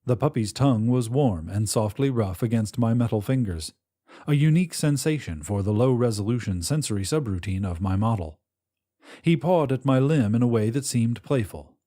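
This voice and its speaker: A man reading first-person fiction as an audiobook narrator in a measured, precise, gentle storytelling delivery. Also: mid-range voice, expressive.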